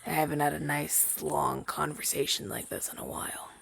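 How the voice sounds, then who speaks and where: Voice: mid-range voice, level tone, moderate pace, vocal fry, fairly bright tone. A man voicing a shy, earnest crow familiar in an ASMR roleplay, hesitant and rambling, with stammers. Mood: bashful, grateful.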